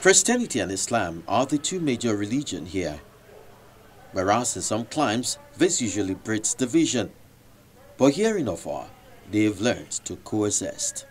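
Lively low-voiced male news narrator. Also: a little hiss or hum. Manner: measured voice-over delivery. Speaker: reporter. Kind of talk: scripted narration.

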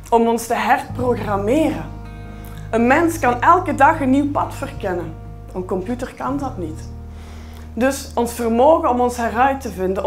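A woman in her forties with a Flemish accent, giving a keynote speech on stage with a headset mic, measured and emphatic with rhetorical pauses. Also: on the high side. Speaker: keynote speaker. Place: large conference hall on stage.

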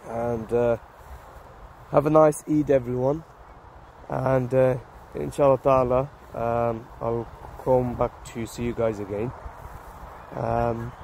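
A slightly hoarse man in his thirties, vlogging casually on a phone in an open park, talking haltingly with many fillers and restarts. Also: mid-range voice, darkish tone, low-quality recording. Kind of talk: casual selfie vlog. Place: open grassy park outdoors. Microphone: phone held at arm's length. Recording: outdoor phone audio with wind and traffic noise.

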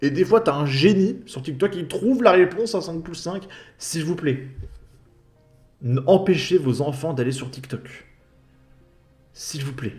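A man's voice: on the high side, animated, unhurried, pauses often, darkish tone, faint music behind. A man hosting a commentary channel, talking over video clips in a sarcastic, mocking voice-over.

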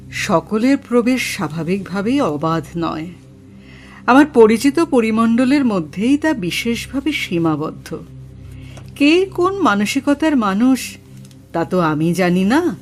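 Slow, grave female spirit medium. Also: mid-range voice, expressive, faint music behind. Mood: grave, cautioning. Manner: formal, measured, solemn. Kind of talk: Bengali horror audio drama acting.

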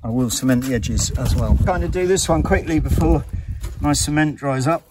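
A man's voice: medium pitch, normal speed. A middle-aged man talking himself through repairing roof tiles, matter-of-fact and practical, recorded outdoors on a rooftop. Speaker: vlogger renovating a house. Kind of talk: casual narration while working. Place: outdoors on a high tiled rooftop. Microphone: handheld camera, often several steps away. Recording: outdoor recording from a distance, loud wind noise.

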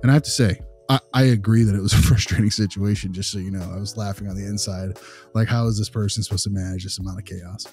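Man acting as male consultant, low relaxed voice, tactful, rambling, reassuring, conversational role-play, music underneath. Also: fast, lightly acted. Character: male behavior consultant, patient, diplomatic, encouraging.

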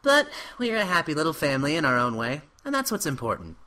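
A young man narrating an animated parody as a sarcastic teenage boy, sardonic and exasperated. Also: fairly high pitch, fast talker.

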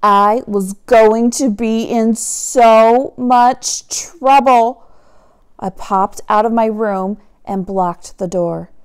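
A woman teacher reading a children's story aloud in a dramatic, expressive manner, drawing out key words for emphasis. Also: on the high side, drawn-out words, smooth. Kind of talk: animated picture-book read-aloud.

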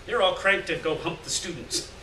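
Man playing crude beatnik drifter, boisterous, raised pitch, slangy, live story reading, distant mic. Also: normal speed, sharp tone. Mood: boisterous, teasing. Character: rowdy, crude, hard-drinking male beatnik drifter. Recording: roomy, distant mic, faint noise.

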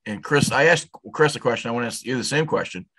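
A man in his sixties hosts an interview over a video call, asking long, rambling questions padded with fillers. His voice sits at an everyday middle pitch. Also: lively intonation, fast talker, a bit bright, slightly rough. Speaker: show host.

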